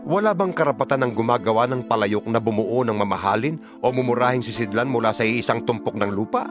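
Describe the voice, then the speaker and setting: A man's voice: medium pitch, fast talker, music in the background. A man reading scripture as narrator of a dramatized Bible, in an earnest, rhetorical preaching delivery.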